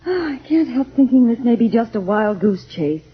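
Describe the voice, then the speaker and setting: An actress playing an anxious, doubting middle-aged mother in an old-time radio adventure, expressive and husky. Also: on the high side, moderate pace, exaggerated voice. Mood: worried. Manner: worried, skeptical, expressive. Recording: muffled vintage radio recording.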